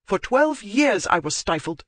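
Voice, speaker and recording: A woman's voice: fairly low pitch, expressive, normal speed, no room sound, voice pushed up, lightly acted. A man voicing a fiery, strong-willed young woman who speaks in a clipped, formal way. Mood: indignant. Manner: indignant, clipped formal speech.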